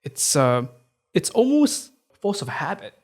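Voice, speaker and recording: A man's voice: a little high, very animated, a little slow. A man hosting a podcast who reads a scripted introduction and then chats with his guest in a friendly way, using many fillers like "uh," "right?" and "like.". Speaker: podcast host.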